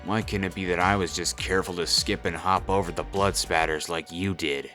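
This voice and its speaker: A low male voice, acted for a comic dub, cocky and argumentative. He plays a defensive young schemer, with music behind him. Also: steady tone, brisk, sharp tone. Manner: protesting, argumentative. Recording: music under the voice.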